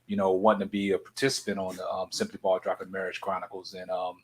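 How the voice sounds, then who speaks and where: A male podcast host asking questions in a relaxed, easygoing way, with lots of "you know"s. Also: sits low, fast talker. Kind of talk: casual chat.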